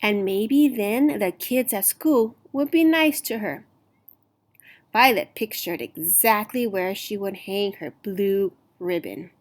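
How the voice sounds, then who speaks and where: A woman reading a picture book aloud to children in an expressive, deliberate storytelling manner. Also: mid-range voice. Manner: deliberate, animated storytelling with emphasized words.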